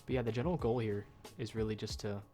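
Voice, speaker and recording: A man's voice: on the low side, fast, mellow, soft background music. A young man with a nasal, stuffed-up voice giving rambling, casual commentary while he plays a game at home, full of ums and uhs. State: nasal from a cold. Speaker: gaming YouTuber.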